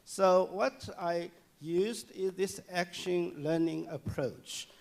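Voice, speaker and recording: A man giving a public lecture and answering audience questions, speaking haltingly with many fillers and restarts. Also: high-pitched, vocal fry, a little mellow. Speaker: guest lecturer. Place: lecture hall.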